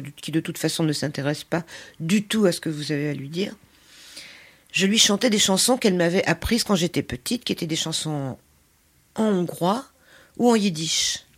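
An older woman, telling personal stories as an interview guest in an expressive, emphatic way. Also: deep voice. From Paris, France. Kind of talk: conversational personal storytelling.

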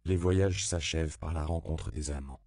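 Male audiobook narrator, very low and gravelly, dark mellow tone, dry close recording. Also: no room sound. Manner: calm, measured reading.

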